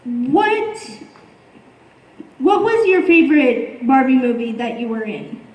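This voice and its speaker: A woman asking questions from the audience at a panel, speaking in a hesitant, halting way. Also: high-pitched, sing-song, darkish tone. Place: convention panel room. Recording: echoey convention room, distant mic.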